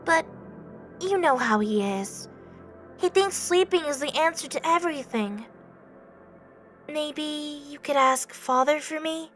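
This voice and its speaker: Woman as a high, soft, innocent young god. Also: lively intonation, exaggerated voice. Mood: anxious, apologetic. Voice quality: soft, delicate, feminine-sounding. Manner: timid, halting, apologetic, earnest. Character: soft-voiced, youthful, innocent, lonely younger brother god. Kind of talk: audio drama acting. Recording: background music bed.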